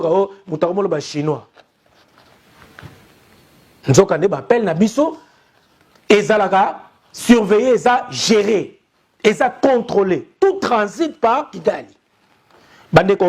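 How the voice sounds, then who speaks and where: Urgent young Congolese man, high voice. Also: a little mellow, husky. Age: young adult. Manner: urgent, repetitive, appealing to his audience. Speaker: press commentator. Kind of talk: impassioned commentary monologue.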